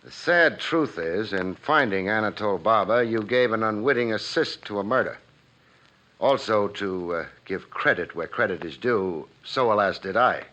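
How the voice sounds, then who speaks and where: An American actor playing a cool-headed, wisecracking private investigator in a 1950s radio drama, with a wry, clipped, hard-boiled delivery. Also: male voice, fairly low pitch, normal speed, sharp tone. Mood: grave, shrewd. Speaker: lead actor and narrator. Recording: muffled old radio broadcast, faint music.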